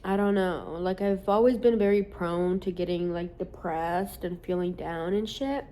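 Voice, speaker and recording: A woman's voice: fairly low pitch, steady tone, unhurried, warm tone. A woman in her late twenties talking candidly in a casual vlog, rambling with lots of "like" and casual swearing. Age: late 20s. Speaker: social media creator.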